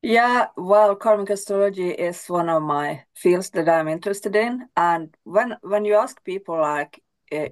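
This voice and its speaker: A Swedish-speaking woman astrologer, answering interview questions thoughtfully with frequent restarts. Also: sits low. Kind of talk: casual interview.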